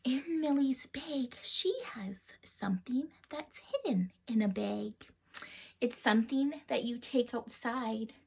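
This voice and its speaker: A woman with a fairly high voice and a noticeable creak reads a picture book to small children at a slow, careful pace. She is expressive, pausing to put questions to her young listeners and sometimes slipping into a whisper. There is faint noise behind her in a home recording. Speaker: read-aloud host for young children. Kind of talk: animated children's storybook read-aloud with chat to the listeners.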